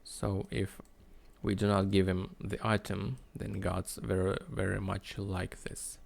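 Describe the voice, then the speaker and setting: A man narrating a screen-recorded coding tutorial in a halting, explanatory way. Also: low-pitched, flat delivery, creaky. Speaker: tutorial host.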